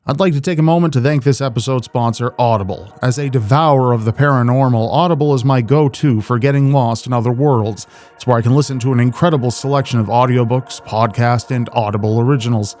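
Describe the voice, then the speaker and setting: A man's voice: mid-range voice, fast, darkish tone. A man hosting a reaction video, giving informal, jokey commentary in a rambling way. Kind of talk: casual, profane reaction commentary.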